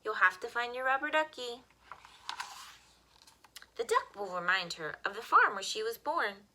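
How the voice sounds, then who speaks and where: A woman reading picture books aloud to young children as a story time host, with expressive, simple phrasing. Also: fairly high pitch, moderate pace.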